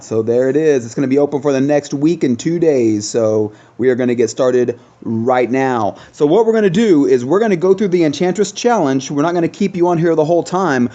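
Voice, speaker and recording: Mellow-voiced man hosting gameplay commentary. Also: mid-range voice, highs cut off. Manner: chatty, thinks aloud with trailing pauses. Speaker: gaming video host.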